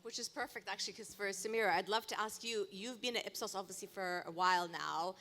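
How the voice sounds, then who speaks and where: Woman panel moderator, bright forceful voice, inquisitive questions. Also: mid-range voice, fast. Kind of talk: live panel discussion. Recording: faint noise, live event ambience.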